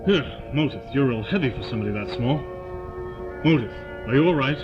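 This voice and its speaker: Hawkish colonel, male actor, mid-pitched and colloquial, for audio drama; slightly dull sound over strong music. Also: expressive, brisk, natural voice. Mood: concerned. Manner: plain-spoken, colloquial. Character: hawkish American military colonel, informal and bitter.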